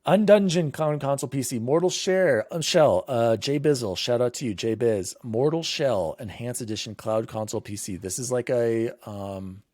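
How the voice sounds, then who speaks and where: A man's voice: mid-range voice, moderate pace, few pauses, darkish tone, no echo, studio quality. A man hosting a gaming podcast, chatting casually and reading out news lists in an informal, conversational way. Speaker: podcast host.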